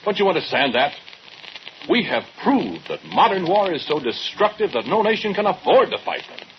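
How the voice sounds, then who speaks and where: A man's voice: high-pitched, sing-song, moderate pace. A man playing a proud, coldly rational scientist in a 1940s radio horror drama, speaking in a pedantic, declamatory way. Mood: defensive, insistent. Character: proud, obsessive male scientist, coldly rational, defensive doctor. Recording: noisy, muffled old radio drama recording.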